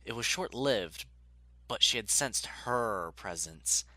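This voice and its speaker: A man's voice: lowish voice, animated, slow, sharp tone, no room sound. A man narrating a scripted story as a dramatic audiobook reader.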